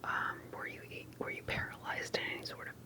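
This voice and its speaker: A woman speaking in a breathy whisper, gently and deliberately asking questions in an ASMR roleplay interview. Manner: soft-spoken, deliberate, questioning.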